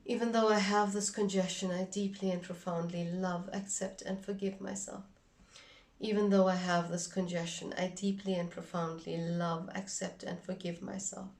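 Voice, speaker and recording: A woman giving an instructional demonstration in a measured, deliberate way, phrase by phrase. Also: lowish voice, little pitch movement, darkish tone, distant mic. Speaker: instructor demonstrating a tapping technique.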